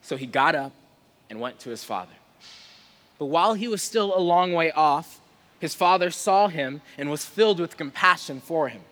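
High-voiced male preacher in a reverberant hall. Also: lively intonation, moderate pace, a bit bright. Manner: conversational, playful, informal. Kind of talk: sermon with casual humour and scripture reading. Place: large reverberant room before a live audience.

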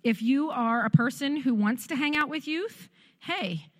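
A woman's voice: high-pitched, animated, measured pace. A woman giving casual church announcements from the sanctuary, talking loosely with many ums and uhs. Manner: chatty, warm filler-laden delivery with many ums. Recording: long hall reverb of a church.

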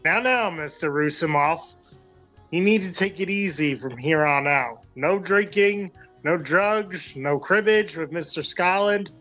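Casting: a man as a breezy quack doctor, high-pitched, blunt, light music underneath, audio comedy. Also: unhurried, pushed and bright, dull audio. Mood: glib. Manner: glib, admonishing. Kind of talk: amateur comedic audio play.

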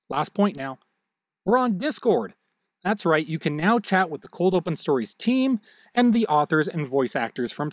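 A man's voice: fairly high pitch, no room sound, studio quality. A man hosting an audio drama podcast, reading a scripted outro in friendly direct address to listeners. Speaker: host and producer of audio drama.

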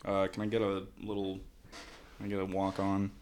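A man co-hosting a casual podcast, raspy from a nap, laid-back and quick to laugh. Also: low-pitched, completely flat delivery, a little mellow, a little hiss or hum. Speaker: podcast co-host.

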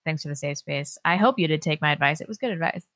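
A woman's voice: low voice, fast talker, fairly bright tone. A woman talks casually as co-host of a political livestream. Her sound is close and free of echo. She reads messages aloud and comments in a dry, offhand manner. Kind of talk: casual chat, reading viewer messages aloud.

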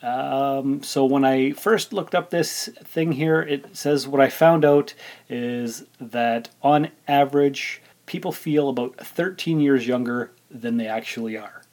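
A man in his forties hosts a casual solo podcast. His voice sits at an average pitch, and he talks informally, thinking aloud and rambling a little as he goes. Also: slow, darkish tone. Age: 40s. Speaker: podcast host.

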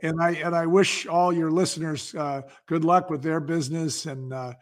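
A man's voice: a little high, flat delivery, normal speed. A man talking as a guest in a casual interview, telling stories about business with enthusiastic, salesmanlike delivery. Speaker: podcast guest, business founder. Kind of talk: casual interview talk, storytelling.

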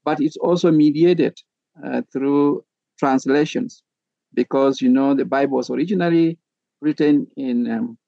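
A middle-aged man from Kenya, a pastor and theology professor, speaking as a podcast guest in a measured, methodical way with frequent pauses. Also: mid-range voice, creaky, mellow, no room sound, highs cut off.

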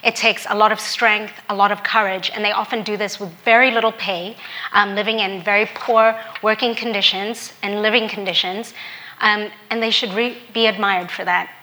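A woman's voice: medium pitch, steady tone, normal speed, sharp tone, poor audio. Earnest young woman from Hong Kong. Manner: earnest, reflective, candid. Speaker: storyteller. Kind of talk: personal story talk.

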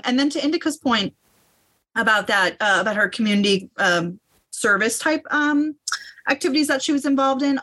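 A woman with a bright, forward voice at a medium pitch talks as a panelist. Her delivery is conversational and halting, with phrases revised midway. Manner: halting, self-correcting.

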